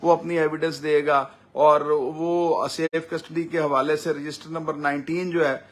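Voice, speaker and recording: A man with a Pakistani accent giving a semi-formal explainer as a lawyer, speaking deliberately with drawn-out hesitations. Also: fairly high pitch, level tone.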